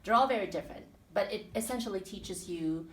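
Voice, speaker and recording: A woman's voice: mid-range voice, brisk, slight background noise. A woman from the Philippines and Guam in her thirties, a teacher answering interview questions thoughtfully with many fillers and restarts. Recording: echoey room, mic at a distance.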